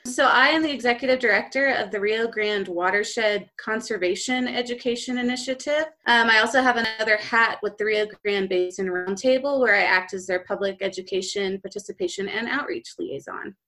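A woman's voice: on the high side, brisk, hardly any pauses, sharp tone. A woman from Texas with a Texan accent, chatting as a podcast guest with easy laughs. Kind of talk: conversational interview.

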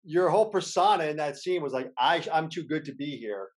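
A man talks casually as an interviewer. His voice sits a little high for a man, and he explains things haltingly, often doubling back on his words. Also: animated. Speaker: interviewer, podcast co-host. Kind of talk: casual fan conversation.

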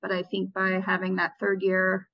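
A woman in her thirties answers interview questions in a relaxed, reflective way. She pauses and restarts often as she works through her memories. The recording sounds a little dull and lo-fi. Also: mid-range voice, a little hiss or hum. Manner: reflective, with frequent restarts and fillers. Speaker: interview guest, former Peace Corps volunteer.

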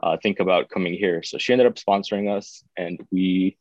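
Deep-voiced man, halting and unhurried. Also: fairly even intonation, dry recording. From born in Baku, raised in the United States. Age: 30s. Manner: unhurried, with frequent filler sounds. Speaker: podcast guest. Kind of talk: casual interview. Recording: dull, dry sound.

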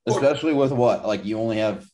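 A man's voice: mid-range voice, quick pace, warm tone. A young man co-hosting a sports podcast, chatting casually with dry, wry remarks. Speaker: podcast co-host.

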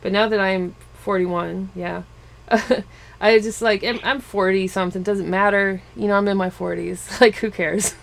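A woman's voice: mid-range voice, fairly even intonation, measured pace, vocal fry. A woman in her forties interviewing a young rapper in a casual, chatty way, laughing often and trailing off with fillers. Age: 40s. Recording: faint background noise.

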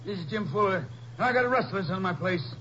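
An actor playing a folksy middle-aged Texas rancher, in 1950s radio drama style. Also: male voice, high-pitched, animated, brisk, a bit bright. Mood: worried. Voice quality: drawling, rural speech. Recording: dull old radio broadcast with hiss.

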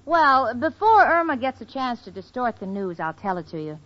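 Sensible dry young lady, old radio comedy, high voice, muffled vintage sound. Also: big pitch swings, normal speed, fairly bright tone. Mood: bright, poised. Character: sensible, poised young single woman, drily patient. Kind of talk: 1940s-50s radio sitcom acting. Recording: dull, noisy old radio recording.

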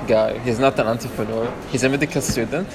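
A man's voice: medium pitch, fairly even intonation, fast, over music. A man hosting a podcast in casual chat, joking and teasing his guest. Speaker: podcast host.

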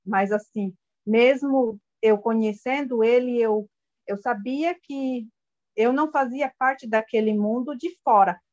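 Soft-spoken woman, lo-fi. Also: a little high, unhurried. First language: Guarani-Mbyá. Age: 30s. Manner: searching for words, gentle and reflective. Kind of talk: personal testimony. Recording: dull lo-fi audio.

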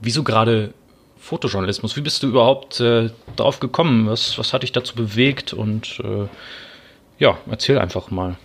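A man's voice: medium pitch, normal speed, creaky. A man in his twenties hosting a casual podcast, talking with frequent hesitations and filler sounds. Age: 20s. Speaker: podcast host.

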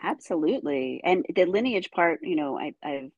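A middle-aged woman, first raised speaking Ukrainian and from the United States, telling her life story as a guest in animated conversation. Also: sits low, normal speed, no echo, dull audio. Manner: animated storytelling, frequent "you know". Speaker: guest, dancer.

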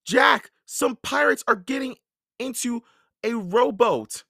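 Storybook girl, male reader: very high, bright, forceful, bold and urgent. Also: animated, takes it slowly, creaky, dry recording, clean studio sound, exaggerated voice. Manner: urgent, insistent calling out. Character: bold, alarmed, scolding young girl.

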